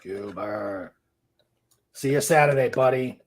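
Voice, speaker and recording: A man hosting a casual livestream, speaking in a halting way as he searches for words. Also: mid-range voice. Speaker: host of a live-streamed show.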